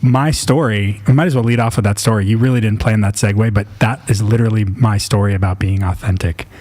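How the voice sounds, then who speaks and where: A man in his late thirties with a fairly low voice. He talks informally as a podcast host, sharing personal stories with a self-deprecating manner. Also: fairly even intonation, fast, darkish tone. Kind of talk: casual chat, telling personal stories.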